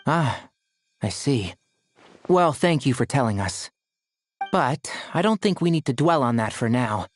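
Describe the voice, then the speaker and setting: Composed young doctor, lively intonation. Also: male voice, medium pitch. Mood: calm, caring. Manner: polite, measured, wry. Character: gentle, composed young male doctor with dry wit. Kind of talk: video game voice acting. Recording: music playing behind the voice.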